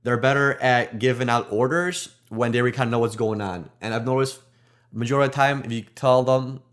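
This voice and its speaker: A man speaks quickly and earnestly on a nursing podcast, tumbling over his own words as he restarts sentences. His pitch stays in a middle range. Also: fairly bright tone. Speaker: nurse podcast co-host. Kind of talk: casual podcast chat.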